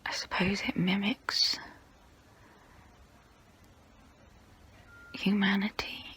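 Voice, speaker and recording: A woman playing a guilty, melancholy centuries-old vampire in an ASMR role play, whispering in a slow, hesitant, wistful way with formal phrasing. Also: medium pitch, level tone, pushed and bright. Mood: wistful, conflicted. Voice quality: breathy whisper.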